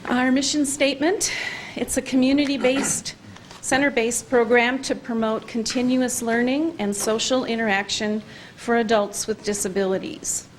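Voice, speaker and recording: A woman, a parent and program organizer, presenting to a city council in a hesitant way with many pauses and fillers. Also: on the high side, fairly even intonation, slight background noise, low-quality recording. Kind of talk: public presentation at council meeting. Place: council meeting room.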